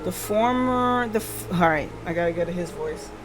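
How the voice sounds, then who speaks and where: A woman's voice: fairly low pitch, expressive, moderate pace, noisy background. Woman streamer reading game text aloud. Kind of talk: live playthrough, reading visual novel text aloud. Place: live stream with faint background sound.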